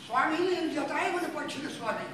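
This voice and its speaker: A man's voice: very high-pitched, expressive, fast, fairly bright tone, a little hiss or hum. A man voicing a loyal old bird king in a traditional puppet play, declaiming in a chanting theatrical way; recorded live, echoey and distant. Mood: anguished.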